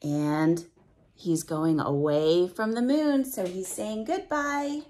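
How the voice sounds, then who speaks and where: Slow-paced woman reading aloud to children. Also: lowish voice. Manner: simple teacherly phrasing with frequent questions to the listener; drawn-out, expressive reading of the story's rhymes. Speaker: storyteller reading a picture book to children. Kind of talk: animated read-aloud with explanatory asides for young children.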